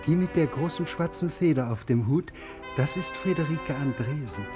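A man's voice: on the high side, animated, moderate pace, close to an everyday voice. An actor playing an obliging, gossipy townsman who explains things deferentially in a radio play. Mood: helpful, confiding. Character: obliging, gossipy little townsman pointing people out. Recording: studio radio play with music underneath.

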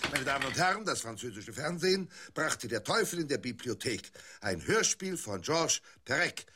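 A wildly swooping bombastic player. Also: male voice, mid-range voice, very animated, moderate pace, pushed and bright, a little hiss or hum. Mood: formal, announcing. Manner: melodramatic, declamatory. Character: bombastic male player declaiming verse and announcing. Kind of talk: exaggerated theatrical radio comedy acting.

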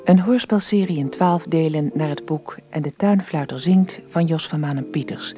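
A woman's voice: sits low, moderate pace. A woman reading a scripted announcement as the announcer of a radio drama series. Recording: radio recording under loud music.

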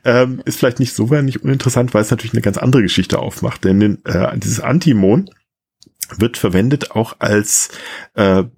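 A man explaining things as an expert guest in casual conversation, rambling and hesitant, often pausing and repeating himself. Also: mid-range voice, creaky, darkish tone, slightly rough. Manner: hesitant, rambling, with frequent filled pauses and repetitions.

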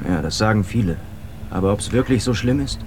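A man's voice: lowish voice, animated, a little fast, music in the background, lightly acted. A man acting in a radio drama as a brash, cheeky expedition buddy who speaks in a teasing, forthright way.

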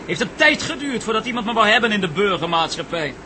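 A man's voice: high voice, sing-song, fast talker. An actor playing a brash, blunt ex-war photographer turned cameraman in a Dutch radio play, talking colloquially and boastfully. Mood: gruff bragging. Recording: noisy radio drama, vehicle hum behind.

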